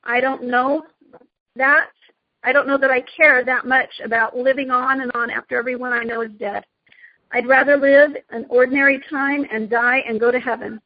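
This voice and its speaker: A woman's voice: sits high, fairly even intonation, moderate pace, husky, no room sound, lo-fi sound. A woman voicing a stubborn, defiant young boy from a storybook, with a blunt manner. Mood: reluctant. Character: frightened but defiant young boy, stubborn and plain-spoken.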